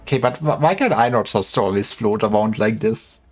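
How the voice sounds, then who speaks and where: A man's voice: lowish voice, normal speed, soft background music. Rambling, stammering man chatting on stream. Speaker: livestreamer. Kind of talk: casual gaming livestream chat.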